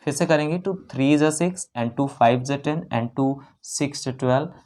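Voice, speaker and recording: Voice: mid-range voice, normal speed, a little mellow. North Indian maths teacher, instructional and repetitive. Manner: repeats phrases and checks in with learners; mixes Hindi with English numbers and terms. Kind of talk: exam problem-solving lesson, explained step by step.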